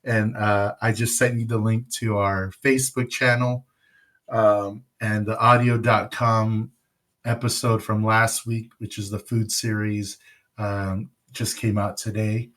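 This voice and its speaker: A man's voice: on the low side, level tone, measured pace, fairly bright tone. A man hosting a casual podcast, recovering from pneumonia, rambling and joking with plenty of fillers. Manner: rambling, jokey, many fillers. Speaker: podcast host.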